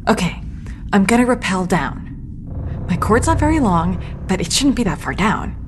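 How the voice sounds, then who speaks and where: A woman playing a plucky, impatient young adventurer in an audio drama, with an urgent, expressive delivery. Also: mid-range voice, normal speed, noisy background, close to an everyday voice. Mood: determined. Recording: music under the voice.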